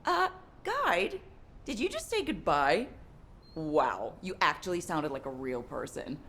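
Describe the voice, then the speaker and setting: An actress playing an excitable, curious, outspoken young woman engineer, chatty and exuberant, in a video game. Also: low-pitched, a little slow, heavily acted. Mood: teasing, enthusiastic. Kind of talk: video game cutscene acting.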